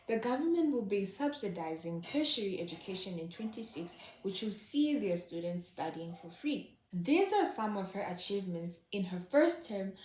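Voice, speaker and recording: A woman's voice: medium pitch, a little mellow. Sardonic woman in an echoey room. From Zimbabwe. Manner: sardonic, wry. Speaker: host. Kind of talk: scripted satirical commentary.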